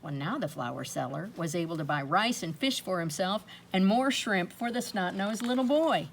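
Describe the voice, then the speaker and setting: A woman reading aloud to children in an expressive storytelling style, with dramatic pauses and drawn-out emphasis. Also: on the low side, a little hiss or hum. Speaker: storybook reader.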